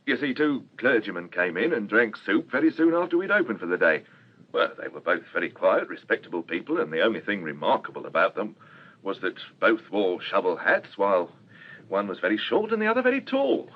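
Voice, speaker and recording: An actor playing a courteous, talkative and somewhat bewildered cafe owner in a radio drama. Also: male voice, fairly low pitch, very animated, brisk, husky. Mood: chatty, explaining. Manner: polite, chatty, explanatory. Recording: dull radio recording with faint hiss.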